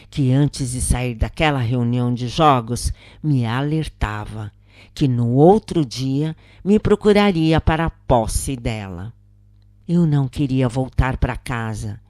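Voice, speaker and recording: Low-voiced Brazilian woman narrating, expressive and measured. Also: no room sound, studio quality. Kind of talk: scripted audiobook-style narration of a spiritist account.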